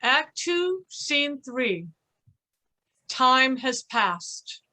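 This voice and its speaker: A woman's voice: high voice, sing-song, extremely slow, bright, forceful tone, low-quality recording. A woman giving scripted narration, reading out stage directions for a play.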